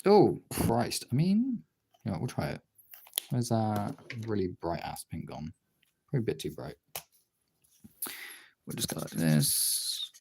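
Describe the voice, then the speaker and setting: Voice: mid-range voice, wildly swooping pitch, a little slow, creaky, long pauses, a little mellow, slight background noise. A streamer chatting casually while drawing, rambling and thinking aloud in a self-deprecating way.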